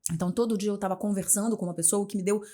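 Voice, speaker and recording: Carioca woman in her forties, journalist guest on an interview show, expressive and chatty, often restarting phrases and adding tag questions. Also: medium pitch, very fast. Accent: Carioca, Rio de Janeiro. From Rio de Janeiro, Brazil. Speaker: guest, journalist and communication mentor. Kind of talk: casual interview conversation.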